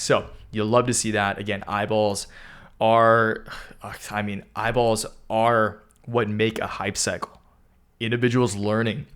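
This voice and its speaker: Hoarse young man clearing his throat. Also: fairly low pitch, a bit bright. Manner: keeps clearing his throat, upbeat and hype-driven. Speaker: stock market video host. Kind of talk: casual chart analysis talk.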